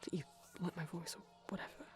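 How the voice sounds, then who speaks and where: A soft, breathy whisper from a man acting as a gentle, bashful vampire boyfriend in an ASMR roleplay. He rambles hesitantly and drowsily, sweet and devoted. Film music plays quietly from a TV behind him. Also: high voice, fairly even intonation, quick pace. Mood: apologetic, bashful. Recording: TV soundtrack playing behind the voice. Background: film audio and music from a TV.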